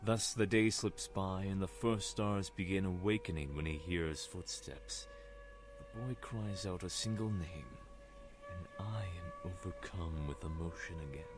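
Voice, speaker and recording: A haunted, brooding man recounts his dreams in a low, deep voice performed by a male actor. The delivery is solemn first-person audio drama narration, with music clearly playing behind it. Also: measured pace.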